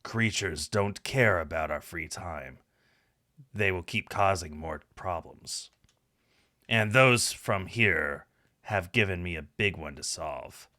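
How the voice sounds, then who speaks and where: A calm fox adventurer with a low, unhurried voice , read out by a man. He is worldly and matter-of-fact, like a seasoned mentor in a visual novel. Music plays behind him. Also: dry recording, studio quality, heavily acted. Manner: measured, matter-of-fact, advising.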